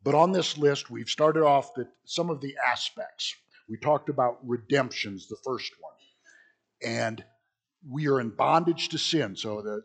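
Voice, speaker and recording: A middle-aged man, a pastor, speaks in a hoarse, husky voice left rough by a recent illness. He teaches Sunday school in a small church in an easy, joking way, full of asides and restarts. His pitch sits in an ordinary middle range for a man.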